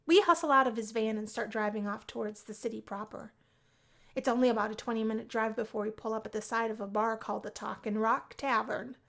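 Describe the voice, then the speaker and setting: A woman hosting a let's play, reading a visual novel aloud as narrator in a lively, expressive way. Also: on the high side, a little fast, gravelly.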